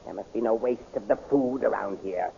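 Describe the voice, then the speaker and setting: An actor playing a fussy, pompous court magician in a 1930s radio serial, hesitant and mumbling, with stammered starts. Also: male voice, lowish voice, fairly quick, gravelly, mellow, breathy edge, heavily acted. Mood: scolding, impatient. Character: doddering, fussy male court magician of an evil queen. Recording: dull, noisy old radio recording.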